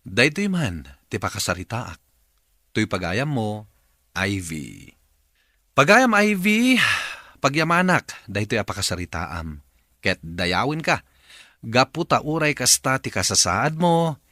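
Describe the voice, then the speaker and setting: A man hosts a radio drama with a solemn, reflective address. His voice is bright, with wide intonation and a northern Philippine accent. He sounds measured. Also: lowish voice, very animated, no room sound, studio quality. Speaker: radio host.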